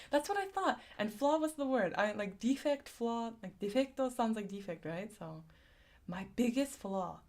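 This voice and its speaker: A young woman from San Diego with a lisp, chatting casually on a live stream, reading chat comments aloud and laughing often. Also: a little high. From San Diego, USA.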